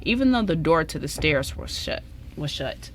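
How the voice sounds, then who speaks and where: A woman's voice: deep voice, sing-song, normal speed, a little hiss or hum. A woman reading scripted story narration as the narrator of a radio drama.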